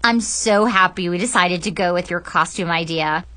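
A woman's voice: on the low side, moderate pace, pushed and bright. A woman voicing a bubbly, gushing teenage girl with a breathless voice in a storybook narration. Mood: gushing excitement.

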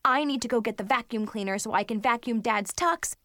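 A woman's voice: high-pitched, very animated, measured pace, no echo, studio quality, voice pushed up. A woman voicing an exasperated schoolgirl big sister in a storybook, bossy and emphatic as she scolds. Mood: exasperated yelling.

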